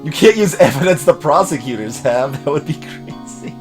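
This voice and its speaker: A man's voice: fairly high pitch, expressive, normal speed, faint music behind. A man doing let's play commentary, reading a game aloud and talking back to it and to his chat.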